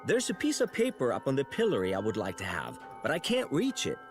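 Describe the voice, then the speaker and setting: An actor playing a young, naive apprentice angel in an adventure game, polite, curious and earnest. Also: male voice, medium pitch, huge pitch swings, fairly quick, soft background music.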